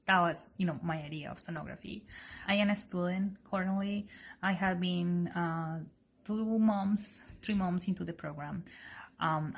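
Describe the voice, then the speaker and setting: A young woman from Colombia with a Colombian Spanish accent, a sonography student telling her story haltingly, with many fillers and restarts. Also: lowish voice, a bit bright. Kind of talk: casual personal testimony.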